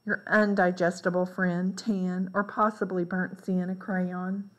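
A woman reading a children's picture book aloud in a deliberate, expressive way. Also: fairly low pitch. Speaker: read-aloud storyteller.

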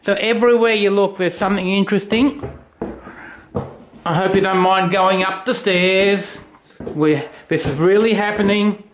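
A man's voice: high voice, fairly even intonation, normal speed. An older Australian man, an auctioneer, enthusiastically rambling about items while walking through a house and filming. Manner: enthusiastic, rambling, self-joking. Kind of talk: casual walkthrough chat. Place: large house, indoors. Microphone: handheld phone camera. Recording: echoey rooms, faint noise.

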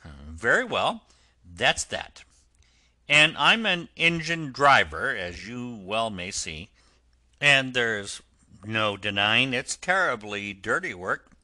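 Burly rustic train driver, hearty and friendly, lively delivery, as a male reader puts him on. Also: medium pitch, dry recording, exaggerated voice. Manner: hearty and loud, rustic turns of speech. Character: burly, kindly male engine driver from the country.

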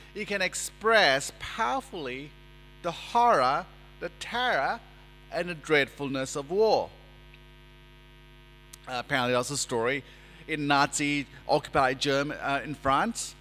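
A man in his forties preaching a sermon at a lectern in a church, speaking in a measured, emphatic way and gesturing as he talks. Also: on the high side, pushed and bright. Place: church sanctuary, indoors. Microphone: lectern microphone. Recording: reverberant church hall with mains hum.

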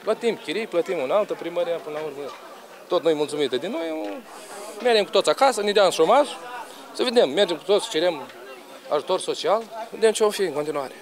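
A man's voice: high voice, animated, a faint crowd. A man in his forties, a market trader complaining in a street interview into a reporter's handheld microphone. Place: outdoor bazaar lane among stalls.

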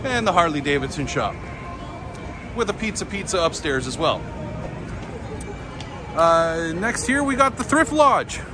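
A man's voice: on the high side, sing-song, a little slow, pauses often. A man giving a casual, upbeat walking tour on a busy city street, talking with many fillers. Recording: street crowd and music behind. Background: street crowd and music.

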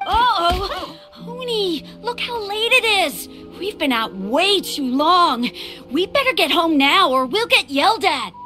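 An excitable young boy. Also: extremely high pitch, a little slow, over music, full character voice. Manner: excitable and gushing. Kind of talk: video game dialogue.

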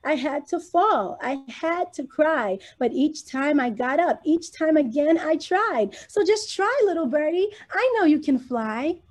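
Sweet little boy, high and gentle, cheering a friend on , voiced by a woman. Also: animated, measured pace, few pauses. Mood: encouraging. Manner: gentle and encouraging. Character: kind, encouraging little boy.

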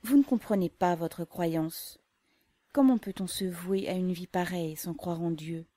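A woman's voice: on the low side, a little slow, warm tone, dry recording. A woman reading aloud, voicing a devout older mother who speaks earnestly and a little reproachfully.

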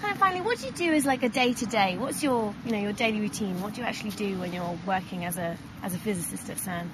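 A woman's voice: mid-range voice, lively intonation, quick pace, noisy background. A woman interviewing a guest outdoors, encouraging with frequent agreement sounds. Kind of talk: casual interview questions.

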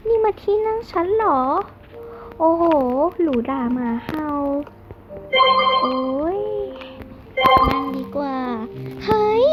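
A young female performer voicing a vain, sassy, grumbling schoolgirl with drawn-out exclamations in a game role-play drama. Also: sits high, poor audio. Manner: exclamatory, drawn-out whining interjections.

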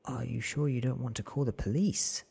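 A man voicing an eager, naive, smitten teenage boy from a storybook in a breathy, questioning ASMR whisper. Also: mid-range voice, steady tone, normal speed, a little mellow, voice pushed up. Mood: concerned.

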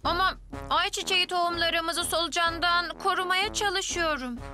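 A woman's voice: very high voice, normal speed, over music. A woman voicing an eager, proud little boy of about five in a children's drama dub, earnest and childlike. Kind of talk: Turkish dub of children's live-action show.

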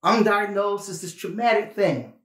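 A man's voice: sits high, a bit bright. Man preaching conversationally, roomy sound. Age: 50s. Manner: earnest, emphatic phrasing with pauses and repetition. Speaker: pastor. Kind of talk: sermon with personal testimony, conversational. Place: small indoor studio, plain backdrop.

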